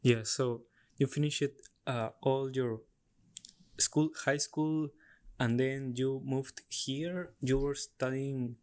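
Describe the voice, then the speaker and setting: Very low-voiced, slow woman interviewer. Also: darkish tone. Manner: prompting, short questions and backchannels. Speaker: podcast host, interviewer. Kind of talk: casual interview conversation.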